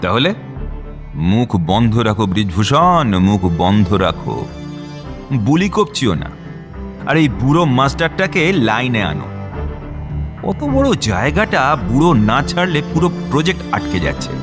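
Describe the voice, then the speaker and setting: An actor in a radio drama playing a domineering, hot-tempered official with a hectoring, sneering manner. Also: male voice, medium pitch, huge pitch swings, moderate pace, pauses often, over music. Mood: menacing. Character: domineering, hot-tempered male project official, scornful boss. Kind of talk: Bengali radio drama acting.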